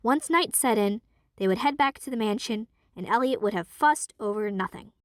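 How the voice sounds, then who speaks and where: A young woman narrating a student audio drama in an expressive, lively storytelling manner. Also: a little high, moderate pace, dry recording. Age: young adult. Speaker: narrator and voice actor.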